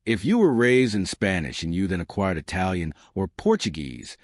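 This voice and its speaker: A man narrating a scripted science explainer, measured and deliberate, with slow emphatic short sentences. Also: deep voice, sing-song, dry recording, studio quality.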